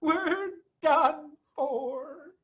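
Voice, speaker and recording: High-pitched frantic storybook badger. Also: male voice, drawn-out words, a bit bright, muffled recording, heavily acted. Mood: despairing. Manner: exclamatory, breathlessly worried. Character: excitable, anxious male badger, frantic and dramatic. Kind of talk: storybook character voice.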